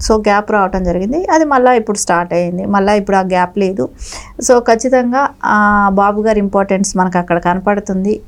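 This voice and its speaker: A woman giving emphatic political commentary as a party spokesperson in an interview. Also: medium pitch.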